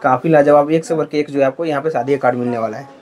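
A man's voice: mid-range voice, steady tone, racing, a little mellow. A man filming a shop-tour vlog in a card shop, talking to viewers with eager praise and repeating prices. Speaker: market vlogger.

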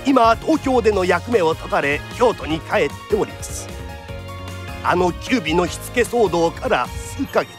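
An actor narrating a period radio drama as a theatrical kodan storyteller, formal and declamatory. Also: male voice, sits high, animated, unhurried, music in the background. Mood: dramatic narration. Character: lively traditional storyteller narrating a period tale.

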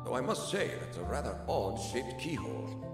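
Casting: loyal elderly retainer for a game, courteous, formal, medium pitch, soft music behind. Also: male voice, sing-song, moderate pace. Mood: pondering. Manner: formal, deferential. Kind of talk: video game voice acting.

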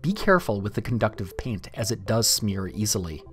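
A man reading a scripted DIY tutorial narration in a clear, step-by-step instructional way. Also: on the low side, big pitch swings, brisk, faint music behind.

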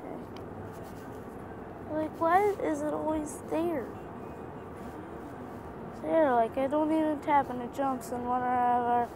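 A young woman casually commenting on a mobile game she plays, quiet and tired with sudden frustrated outbursts. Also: high-pitched, slow, pauses often. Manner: sparse, tired chatter with bursts of frustrated outcries and humming. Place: inside a vehicle. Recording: phone screen recording with vehicle noise. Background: vehicle noise.

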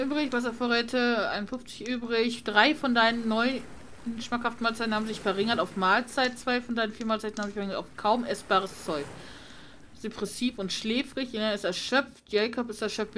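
A woman's voice: on the high side, fairly even intonation, fairly quick, a bit bright, a little hiss or hum. A woman doing casual let's play commentary, reading game text aloud and muttering to herself. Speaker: gaming let's player. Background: video game music.

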